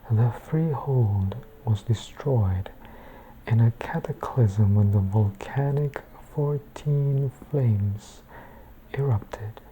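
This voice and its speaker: A woman reading a bedtime book in ASMR style, hushed and measured, with a soft, breathy voice that often slips into a whisper. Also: rumbling low, narrow, tinny audio, slight background noise.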